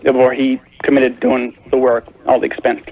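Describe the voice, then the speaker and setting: A man's voice: medium pitch, little pitch movement, moderate pace. A man calling a radio show, explaining his problem in a careful, wordy, hesitant conversational way. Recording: dull lo-fi call on radio tape.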